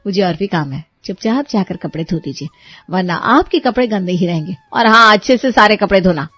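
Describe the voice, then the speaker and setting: A woman voicing a bossy, sharp-tongued young bride in a cartoon story, commanding and sarcastic. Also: medium pitch, animated, fairly quick, slightly rough, no room sound, highs cut off.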